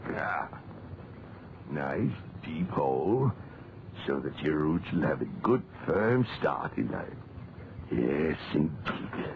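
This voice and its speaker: An actor playing a kindly, talkative old English gentleman gardener who mutters to himself, in a 1940s radio drama. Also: extremely low pitch, very animated, slow, gravelly, long pauses, heavily acted. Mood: contented, unhurried. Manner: rambling, muttering to himself and to things. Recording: muffled noisy 1940s radio recording.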